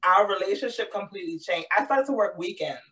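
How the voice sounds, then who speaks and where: A woman co-hosting a casual podcast, her voice hoarse and deeper than usual from laryngitis, talking frankly and easily. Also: animated, fast talker, fairly bright tone, distant mic. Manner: laid-back, frank, swears freely. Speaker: podcast co-host. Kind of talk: casual chat.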